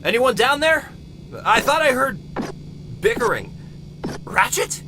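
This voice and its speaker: A man's voice: extremely high pitch, animated, slow, background noise, full character voice. A man playing an anxious, overwhelmed robot medic in an audio drama, speaking in a hesitant, fretful way. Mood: worried, rattled.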